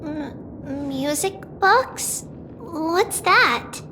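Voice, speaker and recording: A woman voicing an excitable, boastful little girl in an anime-style game, with a childlike, eager delivery. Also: high-pitched, drawn-out words, big-room sound, noisy background, full character voice. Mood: uncertain. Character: hyper, boastful little girl, a holy maiden.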